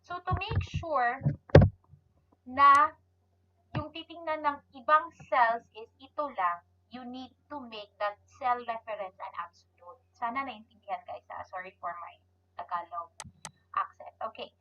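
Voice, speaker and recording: A woman's voice: on the high side, moderate pace. A woman with a Filipino accent teaching an informal step-by-step tutorial, mixing English and Tagalog and often checking that listeners follow. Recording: muffled lo-fi audio, noticeable hum.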